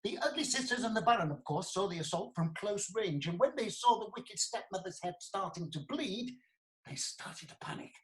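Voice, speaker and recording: A woman's voice: mid-range voice, animated, normal speed, lightly acted, rough recording. Woman acting an earnest, theatrical prosecutor. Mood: grave. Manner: formal, storytelling, deferential. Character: earnest, theatrical prosecuting lawyer recounting a case. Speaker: prosecuting lawyer. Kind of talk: comic courtroom radio play acting.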